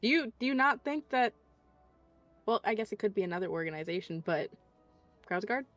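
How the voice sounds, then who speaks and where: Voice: medium pitch, lively intonation, a little fast, fairly bright tone, no room sound, faint music behind. A player in a tabletop game chatting after the session, hesitant and often restarting sentences. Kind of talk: casual post-session chat.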